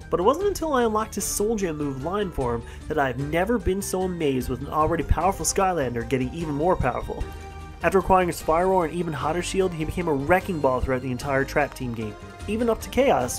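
A young man narrating a countdown voice-over with enthusiasm over gameplay footage. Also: on the high side. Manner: enthusiastic, brisk reading. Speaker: gaming channel host.

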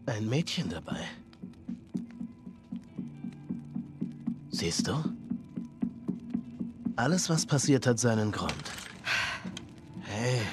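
An actor playing a calm middle-aged survivor leader in a German game dub, softly persuasive and measured, soothing yet menacing. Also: male voice, mid-range voice. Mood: quietly menacing.

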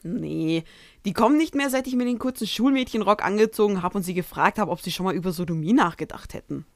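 A woman's voice: medium pitch, quick pace, dry recording, clean studio sound, lightly acted. A woman playing a mischievous winged unicorn princess in a fan audio story, with a casual, playful manner. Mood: casual, cheeky.

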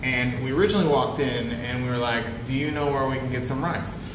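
A man in his thirties chatting casually through a grocery haul, relaxed and chatty, recorded on a camera mic in an apartment room. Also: medium pitch, fairly quick. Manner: relaxed, chatty, chuckles often. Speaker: travel vlogger. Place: bright room of a rented apartment. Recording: roomy echo with clear background noise.

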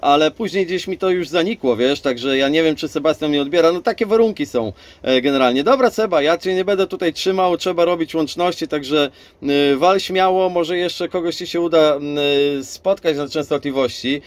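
High-pitched man on ham radio. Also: moderate pace, no echo. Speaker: amateur radio operator. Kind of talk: casual radio contact chat.

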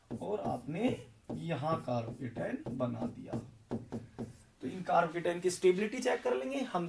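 A hoarse young man teaching chemistry at a board, explaining step by step and asking questions that he answers himself. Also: sits high, far from the mic, noisy background. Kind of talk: whiteboard lecture. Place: indoor classroom in front of a green board.

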